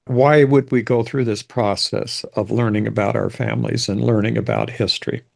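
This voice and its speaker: A man's voice: fairly low pitch, fairly even intonation, normal speed, gravelly. An older man giving an informal lecture as a genealogy presenter, explaining in a reflective, rambling way.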